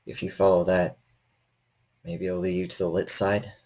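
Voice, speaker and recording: A man's voice: sits low, little pitch movement, normal speed, a little mellow, voice pushed down. A man playing a nervous, weary repairman in a tabletop game, cautious and helpful, speaking in hesitant stammers.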